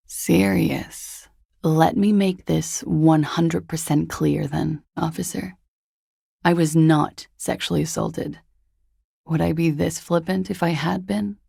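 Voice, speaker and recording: An actress playing a flippant, worldly, evasive young woman in a video game, speaking with a languid, deadpan wryness. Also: low-pitched, creaky, no room sound, studio quality, heavily acted. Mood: cool, flippant. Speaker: video game character voice actor. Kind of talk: video game dialogue acting.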